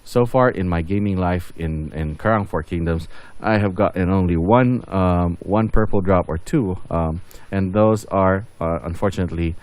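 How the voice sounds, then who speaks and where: Low-voiced man casually narrating gameplay. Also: moderate pace, darkish tone, no echo. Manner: rambling, thinking aloud. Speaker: gaming video host.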